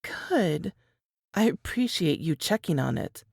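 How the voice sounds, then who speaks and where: A woman's voice: fairly low pitch, big pitch swings, takes it slowly, gravelly, darkish tone, breathy edge, dry recording, clean studio sound, exaggerated voice. A woman playing an earnest, worried young Southern singer in a dramatized period novel, with folksy phrasing. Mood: grateful, subdued. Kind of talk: dramatized audiobook dialogue.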